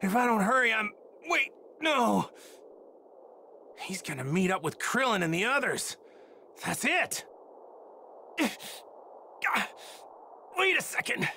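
Earnest, bright-toned anime hero. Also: male voice, high-pitched, sing-song, unhurried, vocal fry, pauses often, a little hiss or hum, full character voice. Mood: worried. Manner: plain-spoken, earnest. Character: earnest, good-natured heroic male martial-arts warrior. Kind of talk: anime game dub acting.

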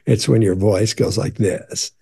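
A man in his sixties with a gravelly voice, chatting casually to camera from his home office while answering viewer questions. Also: fairly low pitch, fairly even intonation, measured pace, mellow. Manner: conversational, repeats and restarts, leans into reassurance. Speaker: body language expert.

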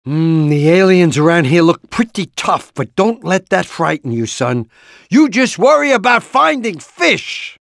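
A man's voice: high-pitched, very animated, measured pace, gravelly, fairly bright tone, dry recording, studio quality, exaggerated voice. A man voicing an anxious, encouraging, portly middle-aged rooster father in a cartoon video game, with a hesitant, rambling, fatherly manner. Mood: worried urging. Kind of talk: animated video game character lines.

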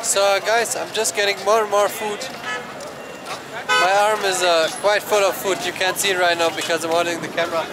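A young man from Germany chatting to a handheld camera as a travel vlogger, hesitant with many pauses. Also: high-pitched, steady tone. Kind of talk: casual vlog narration to camera. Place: busy night street market outdoors. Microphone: action camera held at arm's length. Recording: loud crowd and traffic noise. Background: loud crowd and street traffic.

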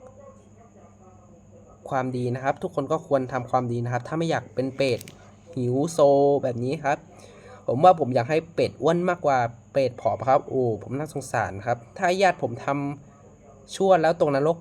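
A man hosting a casual reaction video, politely addressing viewers as friends in a halting, repetitive way with frequent exclamations. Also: mid-range voice, a little mellow. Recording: noisy amateur home recording.

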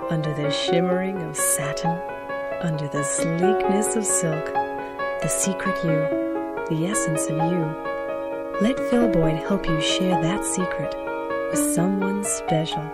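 A woman's voice: lowish voice, measured pace, lightly acted. A woman playing a sultry, seductive perfume announcer in a parody radio ad. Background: loud piano music.

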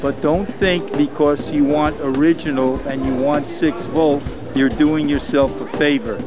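A man's voice: on the high side, steady tone, unhurried. An older man chatting casually at an outdoor car show, folksy with many ums and uhs, recorded on a handheld camera. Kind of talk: casual interview. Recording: outdoor camera audio with music behind.

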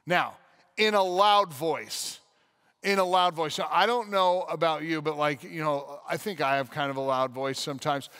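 A man in his thirties preaching a sermon on a church stage into a headset mic, animated, often breaking into loud acted-out quotes. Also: a little high, normal speed. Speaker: pastor.

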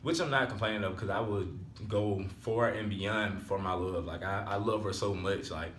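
A man's voice: fairly low pitch, steady tone, moderate pace, fairly bright tone, reverberant, a little hiss or hum. A man of twenty-one, chatting casually and rambling a little as a vlogger. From Alabama, US. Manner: laid-back, rambling, repeats "so therefore". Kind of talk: casual chat. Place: small room at home.

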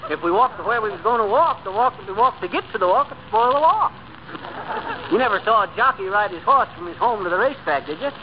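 A man's voice: high voice, animated, fast talker. An actor playing a stubborn, wisecracking married man with folksy, blustery speech in a 1930s radio comedy. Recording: dull, very noisy 1930s radio recording.

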